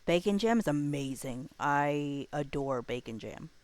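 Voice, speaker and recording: A woman livestreamer chatting casually with her viewers in a playful, expressive way. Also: sits low, measured pace, no echo.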